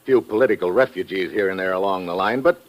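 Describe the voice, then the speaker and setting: An actor playing a tough, wry investigator in a 1950s radio drama, with sardonic, clipped delivery. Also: male voice, deep voice, fast talker, lightly acted. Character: tough, wry male investigator narrating his adventure. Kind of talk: hard-boiled 1950s radio drama acting.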